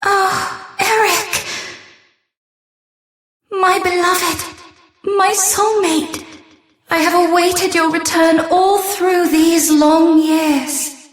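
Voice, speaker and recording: Lovesick game maiden, super high voice, drawn out, echoey n far away. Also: female voice, steady tone, very slow, pauses often, full character voice. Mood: yearning, overjoyed. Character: devoted, lovelorn female lover. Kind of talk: video game character acting. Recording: echoey, distant, music behind.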